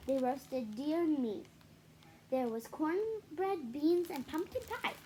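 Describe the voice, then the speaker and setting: A woman's voice: high voice, takes it slowly, warm tone, slight background noise, poor audio. A woman reading a children's picture book aloud, adding casual explanatory asides for young listeners. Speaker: read-aloud storyteller for children.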